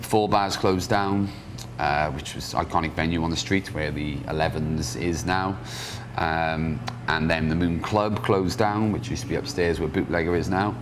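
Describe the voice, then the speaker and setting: Very low voiced guy, festival organiser, matter of fact, music faint in the back. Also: fairly even intonation, moderate pace. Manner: matter-of-fact, listing details. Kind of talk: interview answer.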